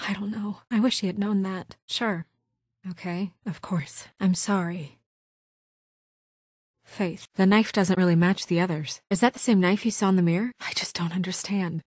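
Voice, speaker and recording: A woman playing an earnest, guarded young office administrator in a video game, with measured, soft-spoken, often hesitant delivery. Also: medium pitch, steady tone, slightly rough, dry recording, studio quality. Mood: hushed, sad, then serious, questioning. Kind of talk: naturalistic video game voice acting.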